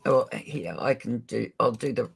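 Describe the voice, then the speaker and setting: Husky, gravelly man chatting with viewers. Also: medium pitch, lively intonation, unhurried. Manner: friendly, addresses viewers directly. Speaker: livestream host. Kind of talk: casual live chat.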